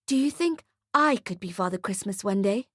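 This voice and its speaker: A woman voicing an excited, wide-eyed small boy with eager childlike exclamations in an audiobook story. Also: medium pitch, moderate pace, slightly rough, no room sound, studio quality. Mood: hopeful.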